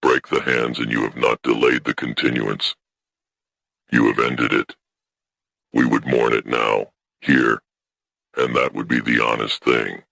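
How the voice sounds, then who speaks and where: A man playing an old, weary alien elder who speaks in solemn, stern, formal declarations with a gravelly creak, in a science fiction audio story. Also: a bass voice, level tone, unhurried, pauses often, sharp tone, dry recording, exaggerated voice.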